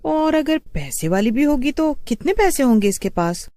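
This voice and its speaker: A woman voicing a young, curious, fixated wife in an animated horror story, musing and gently pleading. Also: high voice, normal speed, no room sound, voice pushed up. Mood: puzzled, curious. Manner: musing, questioning.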